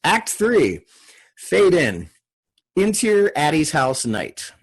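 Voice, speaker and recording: A man's voice: a little high, huge pitch swings, slow pace, breathy edge. A man reading screenplay stage directions as narrator, in clipped scripted phrases. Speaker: stage-direction narrator and table read leader.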